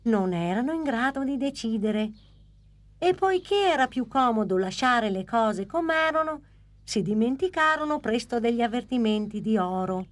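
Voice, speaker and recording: A woman tells the story with calm, even storybook narration between the scenes. Her voice sits high and moves with a lively lilt. It is the voice-over of a dubbed cartoon. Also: moderate pace.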